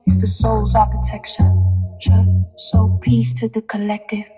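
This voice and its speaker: A woman delivers rhymed spiritual rap, partly sung, in a measured, declarative way. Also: mid-range voice, fairly even intonation, slightly rough. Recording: vocal over loud hip hop music.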